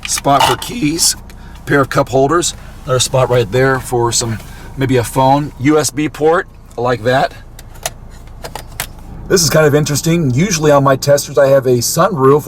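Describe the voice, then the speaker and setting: A man's voice: mid-range voice, a little hiss or hum. A man in his forties who is losing his voice to a cold, casually talking through a car walkaround on a handheld camera, upbeat and thinking aloud. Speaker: car reviewer. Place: outdoors on a street and inside a parked car. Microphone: handheld camera at arm's length.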